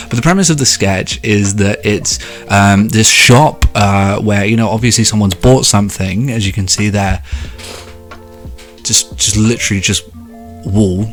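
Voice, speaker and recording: A man in his late twenties giving a sarcastic, profane commentary monologue, with sudden pitch jumps for emphasis. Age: 20s. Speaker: video commentator.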